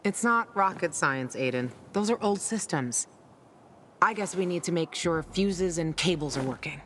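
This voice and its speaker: A woman's voice: on the low side, animated, moderate pace, a bit bright, slight background noise. An actress playing a tough, sardonic young woman guiding a newcomer, brash and teasing, in a game cutscene. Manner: brash, teasing, swears freely.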